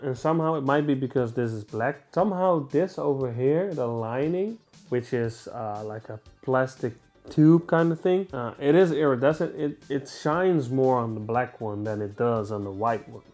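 A man in his twenties with a Dutch accent, talking casually and excitedly about a new pair of sneakers in a small room. He rambles, restarts his sentences and throws in a lot of fillers. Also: mid-range voice, moderate pace, soft background music. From Netherlands. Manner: chatty, enthusiastic, rambling with restarts. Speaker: sneaker vlogger. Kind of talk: casual unboxing review. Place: small indoor room, seated on a sofa.

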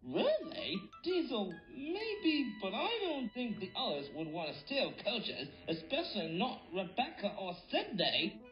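A sceptical cartoon engine, voiced by a woman, always questioning. The pitch swings extremely widely, on a slightly dull recording with music behind. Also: big pitch swings, unhurried. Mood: doubtful.

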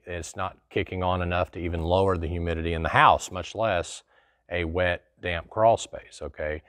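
A man's voice: low-pitched, fairly bright tone, no room sound. A middle-aged man, hosting a casual explainer in a small studio and answering a viewer's question in a folksy, conversational way. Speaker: host. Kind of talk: casual explanatory talk to camera. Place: small studio in front of a plain wall.

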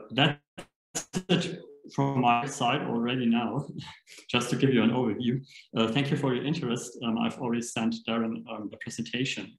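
A man's voice: mid-range voice, roomy sound, poor audio. A man presenting a research project in an online seminar, hesitant with many fillers, over a video call. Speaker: academic presenter.

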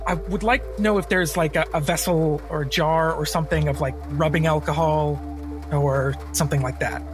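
A man's voice: fairly high pitch, steady tone, moderate pace, over music. A man playing a tabletop game, talking casually about what his character does.

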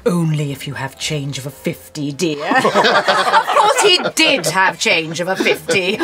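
A woman's voice: fairly low pitch, very animated, measured pace, a loud crowd. A middle-aged woman playing an exasperated, foul-mouthed soap actress in a screen comedy, theatrical, haughty and sarcastic. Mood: haughty, amused. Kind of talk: screen comedy acting as radio drama actress. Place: small radio recording studio.

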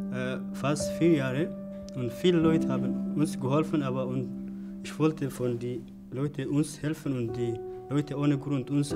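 A young man directing films, not a native speaker, answering interview questions in halting, searching speech full of fillers, seated on a dark studio set. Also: medium pitch. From Africa. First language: not German. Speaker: film director and writer.

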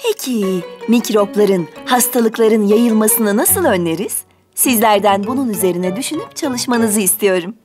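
A woman's voice: medium pitch, measured pace, natural voice. A woman voicing a kind, patient young preschool teacher in a children's cartoon, speaking in an instructive, encouraging way. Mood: gently questioning. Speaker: voice actor. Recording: loud music behind the voice. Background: music.

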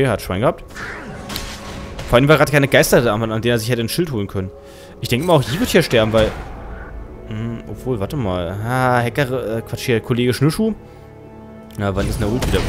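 A young man with a German accent casting a match live, thinking aloud and correcting himself as he goes. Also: mid-range voice. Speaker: game caster. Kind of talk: casual game commentary. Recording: music under the voice.

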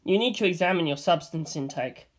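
A sardonic female dinosaur at a party who drops dry, cutting remarks, read out by a man. The voice is slightly high. It stays his own plain reading voice. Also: animated, brisk.

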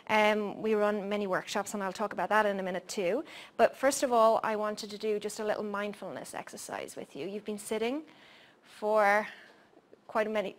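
An Irish woman in her forties, a program coordinator giving a workshop talk in a lecture room and leading a mindfulness exercise, speaking softly with frequent "um" pauses into a handheld mic. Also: mid-range voice. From Ireland. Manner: soft-spoken, frequent "um" pauses.

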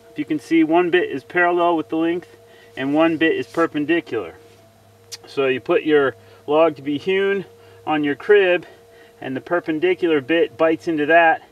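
A man's voice: on the high side, lo-fi sound. A plain-spoken man explaining hands-on homestead skills in a casual, instructional way, recorded outdoors on a camera mic a few feet away. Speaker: homesteader presenting his channel. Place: outdoors in a snowy farm yard.

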